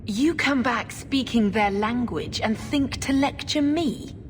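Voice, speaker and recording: Snooty scheming church sister from a game, a bit high pitched, measured and condescending, big echoing hall. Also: female voice. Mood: cool, defensive. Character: haughty, scheming Chantry sister, coolly calculating. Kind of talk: video game cutscene acting. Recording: long hall reverb, clear background noise.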